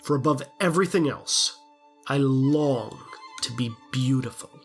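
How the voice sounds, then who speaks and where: A woman voicing a lovelorn, vain male glass blower in a storybook audio drama, husky and pleading. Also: very deep voice, unhurried, soft background music, full character voice. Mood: eager, longing.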